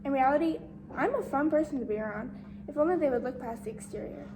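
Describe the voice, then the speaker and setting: A woman's voice: sits high, expressive, fast, noisy background. A woman playing a shy, insecure, bookish teenage outcast in an amateur dramatic monologue. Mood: wistful.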